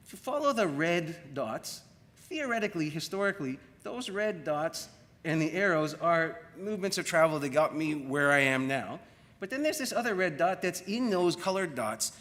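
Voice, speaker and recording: A middle-aged man with a Canadian accent giving a public academic lecture in a reflective, deliberate manner, repeating phrases for effect. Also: on the high side, a bit bright. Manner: reflective, rhetorical questions and deliberate repetition. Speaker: university lecturer in media studies. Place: large room at a university colloquium. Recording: echoey hall with long reverb.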